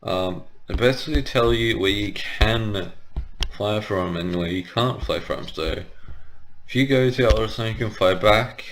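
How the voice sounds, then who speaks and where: Guy doing a lets play, kinda low voice, talks real slow, unsure and rambly. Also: a bit bright, slight background noise. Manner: hesitant, rambling, frequent self-correction. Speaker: gaming video host. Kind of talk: casual let's play commentary, explaining game menus.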